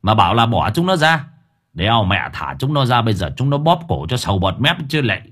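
A man's voice: low-pitched, sing-song, moderate pace, a bit bright, slightly rough, pitched below the performer's own voice, full character voice. A man voicing a brash exorcist master who scolds, gloats and barks. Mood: angry scolding.

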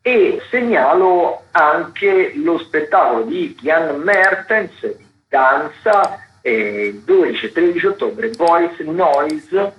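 A man hosting a radio arts programme, talking through listings in a halting way with drawn-out words. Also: fairly high pitch, sing-song. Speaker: radio host presenting theatre and dance listings. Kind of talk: semi-scripted radio arts commentary. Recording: roomy echo, slightly dull radio sound.

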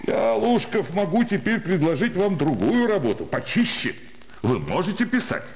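Radio play: patronising gentleman, highish voice, hugely animated, pompous and hearty, loud ambient noise. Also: moderate pace. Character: self-satisfied, patronising gentleman benefactor lecturing the poor. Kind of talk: theatrical radio play acting of classic literature.

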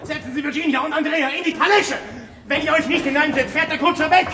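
A man's voice: very high voice, fast talker, sharp tone. A man playing a stubborn scholar and father in a radio drama, speaking curtly. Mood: urgent. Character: stubborn scholar and father, absorbed in his work. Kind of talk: German radio drama acting. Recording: echoey, noisy radio play recording.

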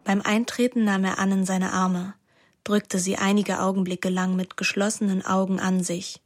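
An actress playing an introspective young woman narrating a literary radio play in a measured, languid manner. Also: medium pitch, flat delivery, lightly acted. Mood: ashamed, quiet. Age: young adult. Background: music.

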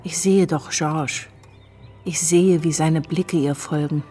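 A woman's voice: sits low, fairly even intonation, takes it slowly, mellow, faint music behind. An actress playing a melancholy, disillusioned young wife and mother, confiding in a radio drama monologue. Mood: weary, wistful.

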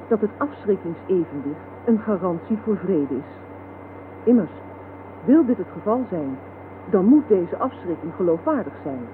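A man reading a scripted text as narrator in a formal style. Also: high-pitched. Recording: noisy, thin phone-like old radio recording.

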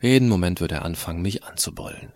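A cocky teenage schoolboy played by a man tells his own story in an audio drama. The voice is fairly low and recorded dry. His narration is irreverent, sardonic and full of slangy, colloquial turns. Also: expressive, fast talker, a little mellow, no echo. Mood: wry, confiding. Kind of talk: first-person scripted narration, fan audio drama.

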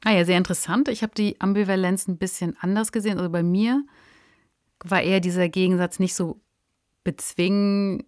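A woman's voice: medium pitch, steady tone, fairly quick, a little mellow, no echo, studio quality. A woman in a casual podcast discussion, explaining things in a chatty, engaged way. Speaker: podcast discussion partner, author.

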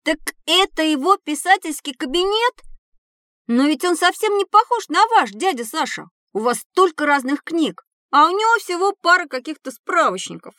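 A woman's voice: very high voice, sing-song, moderate pace, pushed and bright, no room sound, studio quality, exaggerated voice. A woman voicing an inquisitive, outspoken, easily puzzled child in a children's audio drama.

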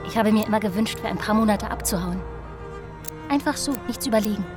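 A woman's voice: mid-range voice, brisk, lightly acted. An actress playing a restless, wistful young woman in an intimate radio drama monologue. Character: vanished young woman, wistful, restless, addressing her lover. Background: music under the voice.

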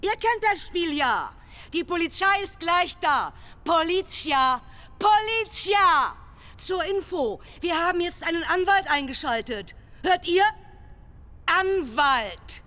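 High, shrill, fed-up woman. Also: lively intonation, slow, bright, forceful tone, full character voice. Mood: exasperated, threatening. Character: annoyed woman warning rowdy people off with threats. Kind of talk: radio drama acting.

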